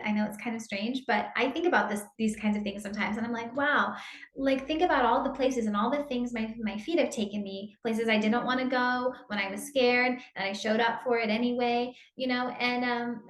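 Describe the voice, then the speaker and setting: A woman's voice: a little high, reverberant. A woman interviewing guests, asking long rambling questions with enthusiasm.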